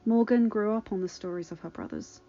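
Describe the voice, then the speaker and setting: A woman reading a story aloud as a podfic narrator, dramatic and with emphatic pauses. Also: medium pitch, mellow, muffled recording, faint music behind.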